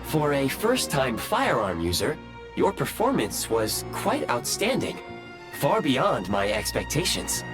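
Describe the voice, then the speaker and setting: Polite machine guide: formal, precise, mid-pitched, lively intonation, game character with music behind. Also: male voice. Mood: composed. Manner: formal, measured, precise wording. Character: polite, composed robotic train conductor and helpful guide. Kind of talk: video game voice acting.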